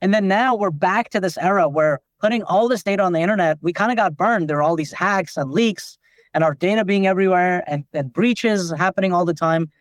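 Nepali-born founder guy, kinda high voice, says "like" a lot, dry close mic. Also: a little fast, no room sound. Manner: frequent "like" fillers, explanatory. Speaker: company founder and CEO, guest. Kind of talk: business podcast interview.

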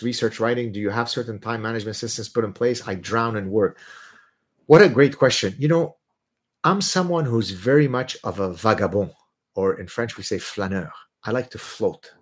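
Blunt, animated Lebanese-born man. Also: on the low side. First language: Arabic. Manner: animated, blunt, emphatic, mocking voices when ranting. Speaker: livestream host answering viewer questions. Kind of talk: casual livestream talk.

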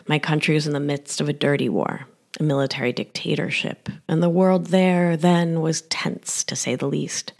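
A woman in her forties tells her life story in a slow, reflective way, and her phrases often fade into near-whispers. She was born in Argentina. Her voice sits low and dusky. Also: fairly even intonation, creaky, darkish tone. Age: 40s. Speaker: health practitioner. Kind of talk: scripted personal narration.

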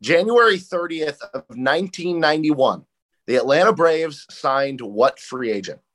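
A man's voice: fairly high pitch, animated, measured pace, fairly bright tone, low-quality recording. Congested man hosting a podcast. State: congested from a cold. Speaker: podcast co-host. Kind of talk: casual chat.

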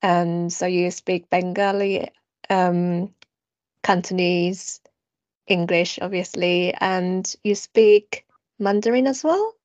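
A woman hosting a podcast, asking gentle, hesitant questions with lots of "mm-hmm" and "um". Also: fairly low pitch, takes it slowly, no echo, lo-fi sound. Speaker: podcast host. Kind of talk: casual interview.

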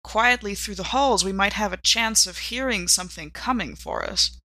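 A woman's voice: medium pitch, normal speed, pushed and bright, dry recording. A woman playing a tabletop roleplaying game, talking conversationally in character.

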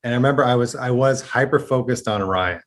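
A young man speaking as a podcast guest, hesitant and halting, with many restarts as he apologizes. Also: mid-range voice. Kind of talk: apologetic conversation.

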